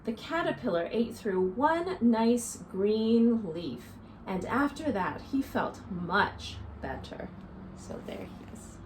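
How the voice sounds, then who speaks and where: A woman in her thirties reads and explains a picture book to young children like a teacher. Her voice is fairly high and very animated, with slow, deliberate phrasing. It is recorded indoors with faint ambient noise behind her. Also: low-quality recording. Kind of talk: expressive picture-book read-aloud and simple lesson for children. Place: small living room, indoors.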